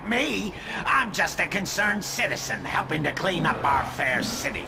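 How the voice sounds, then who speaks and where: An actor playing a gloating, theatrical supervillain, mocking and grandiose, in a video game. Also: male voice, sits high, lively intonation, unhurried, gravelly, heavily acted. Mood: mocking glee. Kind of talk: video game villain acting. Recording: loud music underneath.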